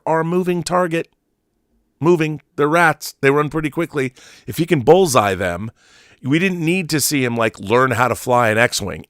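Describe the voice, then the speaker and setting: A man's voice: mid-range voice, fairly bright tone, no room sound, studio quality. A man in his fifties hosting a casual livestream, commenting and reading out messages in an opinionated, emphatic way, repeating himself for emphasis. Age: 50s. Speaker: livestream host.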